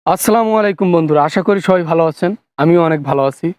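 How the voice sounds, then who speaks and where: A man's voice: on the high side, fast talker, a little mellow, no room sound. A man speaking to viewers as a video creator in a channel sign-off. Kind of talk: scripted channel outro to viewers.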